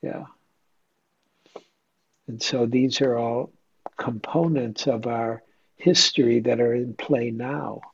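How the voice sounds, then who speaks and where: A man's voice: medium pitch, fairly even intonation, slow pace, creaky, long pauses, husky, dull audio. A man leading an informal group discussion as a meditation teacher, conversational and questioning, often checking in with listeners. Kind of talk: informal question-and-answer dharma talk.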